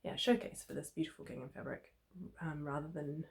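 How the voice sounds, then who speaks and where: Female sewing vlogger, New Zealand accent, lower register, a touch hoarse with a cold, informal chatty delivery. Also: steady tone, fairly quick. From New Zealand, Christchurch. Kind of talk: casual sewing tutorial chat.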